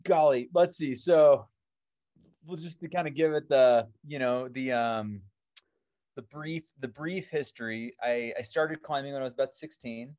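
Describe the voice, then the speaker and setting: A man's voice: medium pitch, a little slow, a little mellow, highs cut off. Rambling American man, climber guest. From born in New Zealand, raised in Seattle. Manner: rambling, many fillers like "um" and "you know". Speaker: alpine climber and filmmaker, guest. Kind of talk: casual interview answers.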